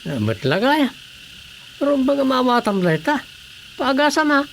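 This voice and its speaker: An inquisitive, know-it-all Ilocano everyman in a radio comedy drama. His voice is creaky and emphatic as he explains things, with faint noise and insects behind him. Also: very high-pitched, sing-song, normal speed, exaggerated voice. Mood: surprised, prodding.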